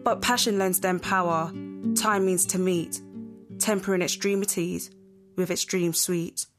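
A young woman acting as a solemn chorus, narrating Shakespearean verse in a measured, poetic way. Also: lowish voice, steady tone, natural voice. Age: young adult. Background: plucked guitar music.

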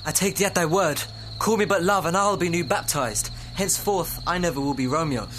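Lovestruck young nobleman, played by a young man, slightly high male voice, Shakespearean audio drama, poetic and ardent. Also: fairly quick, a bit bright, soft background music, natural voice. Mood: rapturous. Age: young adult. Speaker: student actor.

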